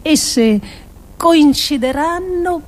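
Slow, solemn woman reading, higher-pitched voice. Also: lively intonation. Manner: deliberate, solemn, with emphatic pauses. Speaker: performer reading an essay monologue. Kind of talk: dramatic scripted radio reading of philosophical essay.

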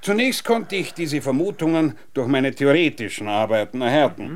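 An eccentric scientist in an absurd radio comedy, acted by a man. He lectures in a grand, theatrical way, his medium-pitched voice rising and falling in lively sweeps. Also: normal speed, natural voice. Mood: lecturing. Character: eccentric, naked time-theorist scientist back from apparent death. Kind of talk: absurd comic radio drama acting.

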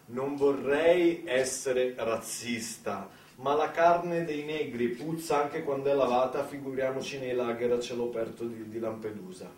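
A male stage actor delivering a conversational theatrical monologue, emphatic and with deliberate pauses. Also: medium pitch. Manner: emphatic, deliberate pauses, swinging from quiet to loud. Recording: roomy, slightly distant, noisy live capture.

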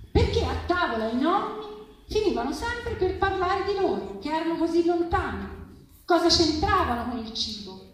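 A woman giving an expressive live reading of a literary story to a festival audience. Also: sits high. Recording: very echoey, distant, faint noise.

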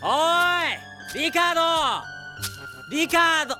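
An actor playing a brash, exasperated young man, a rough big brother type, in a radio drama. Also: very high-pitched, takes it slowly, over music. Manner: rough, loud, grumbling. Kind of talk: Japanese radio drama acting.

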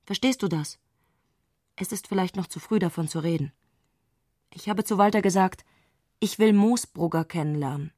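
An actress playing an eccentric, excitable woman speaking an intimate letter in intense, abrupt short sentences, in a literary radio play. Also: fairly low pitch, normal speed, long pauses, dry recording, clean studio sound, natural voice. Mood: agitated wonder, then restless recounting.

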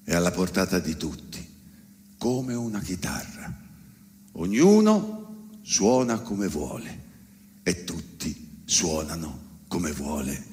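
A man delivering an ironic, emphatic theatrical monologue with dramatic pauses. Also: deep voice, sing-song, a little mellow. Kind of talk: theatrical spoken monologue on stage. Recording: long hall reverb.

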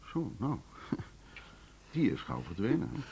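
A man's voice: fairly low pitch, huge pitch swings, slow, creaky, warm tone, slightly rough, heavily acted. An actor playing a courteous, formal middle-aged chairman of a music school board in a Dutch radio drama. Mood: courteous, nostalgic. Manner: formal, polite, wordy.

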